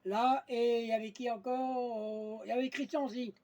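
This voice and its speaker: Very high-pitched man with a creaky voice. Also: flat delivery, moderate pace, darkish tone. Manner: blunt, colloquial, searching for words. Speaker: amateur radio operator. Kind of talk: casual radio contact chat.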